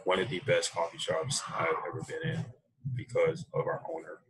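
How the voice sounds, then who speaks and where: An American man speaking casually and reflectively as an interview guest on a video call, thinking his way through halting phrases. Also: sits low, very animated, noisy background. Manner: halting, thoughtful, unhurried phrasing. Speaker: interview guest, coffee roaster. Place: small room indoors, video call. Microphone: computer mic on a video call.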